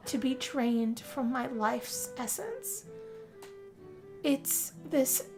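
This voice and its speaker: A woman's voice: high voice, exaggerated voice, rough recording. A woman voicing a moody, weary young woman in a first-person dramatic role-play, with a sighing, confessional delivery. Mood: haunted, entranced. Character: young woman Yazmin, moody, weary, being turned vampire. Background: guitar music.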